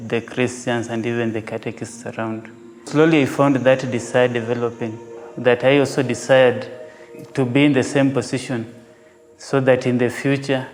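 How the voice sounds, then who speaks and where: A young man from Kenya, giving an earnest, measured testimony about his vocation as a seminarian. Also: mid-range voice, darkish tone. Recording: reverberant, with guitar music behind.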